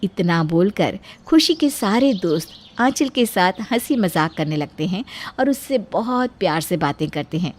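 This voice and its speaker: A woman's voice: on the low side, lively intonation, normal speed, slight background noise. A woman narrating a scripted moral story as a storyteller. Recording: music bed under the voice. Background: background music.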